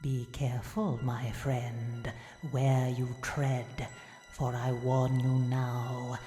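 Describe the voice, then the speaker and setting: A woman as gothic horror hostess, low voice, ominous, slow portentous delivery, theatrical, music behind. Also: flat delivery, reverberant, exaggerated voice. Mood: ominous warning. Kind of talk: scripted gothic show introduction.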